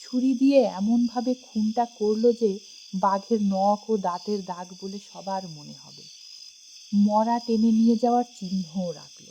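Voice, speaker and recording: A woman reading a scripted first-person suspense story with dramatic, expressive storytelling. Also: medium pitch, a little hiss or hum, low-quality recording. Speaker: audiobook narrator.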